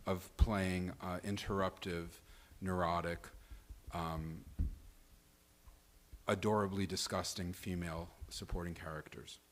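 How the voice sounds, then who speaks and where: A man co-hosting a live table read, talking off the cuff in a wry, playful and deliberate way. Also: fairly low pitch, fairly bright tone. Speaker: co-host and performer at a table read. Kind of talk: casual off-the-cuff stage talk. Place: live event venue before a small audience.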